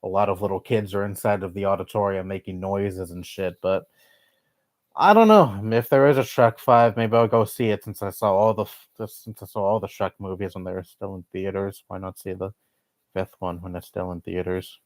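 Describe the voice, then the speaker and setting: Young male streamer, low-pitched, blunt chatty rambling, dry close mic. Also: normal speed, no room sound. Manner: blunt, swears often, rambling opinions. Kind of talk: casual live-stream chat.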